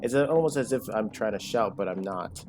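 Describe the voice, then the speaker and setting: A man giving casual, rambling commentary over his own gameplay, full of fillers and restarts, with a loud voice. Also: lowish voice, animated, normal speed, background noise. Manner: rambling, filler-heavy, self-correcting. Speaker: gaming channel host. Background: game music.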